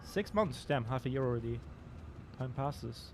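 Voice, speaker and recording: A young man hosting a casual live stream, talking in a dry, monotone way. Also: mid-range voice, moderate pace, over loud music.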